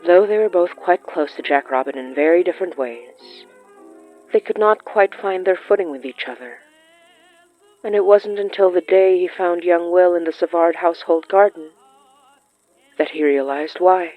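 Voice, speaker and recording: A narrator reading scripted period fiction in a measured, deliberate way with pauses. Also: medium pitch, phone-quality sound. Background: background music.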